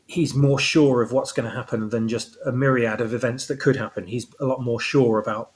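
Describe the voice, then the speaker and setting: A man's voice: medium pitch, steady tone, brisk. Explanatory man in casual discussion. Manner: explanatory, rephrases as goes. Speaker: guest, audio drama writer. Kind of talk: casual discussion of own story.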